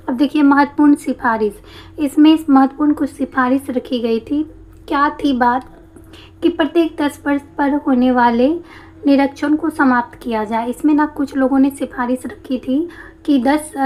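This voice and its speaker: A woman teacher with a slightly hoarse throat, explaining a history lesson step by step and answering her own questions. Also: high voice, darkish tone. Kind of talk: exam-prep history lesson.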